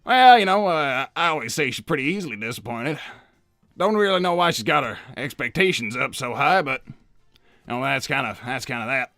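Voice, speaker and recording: A man's voice: mid-range voice, expressive, fairly quick, creaky, a bit bright, no echo, studio quality, faint music behind. A man voicing an old hedgehog uncle in a cartoon interview, hesitant and evasive, stammering and repeating himself as he rambles. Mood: wistful, evasive. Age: older. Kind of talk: improvised in-character interview.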